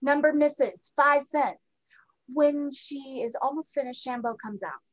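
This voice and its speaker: A woman's voice: sits high, unhurried, dry recording, low-quality recording. A woman narrating stage directions in a scripted play reading. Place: home room, video call.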